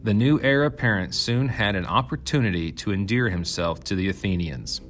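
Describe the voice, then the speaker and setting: A man's voice: on the low side, moderate pace, fairly bright tone, soft background music. A man reading an ancient myth aloud as scripted storytelling narration.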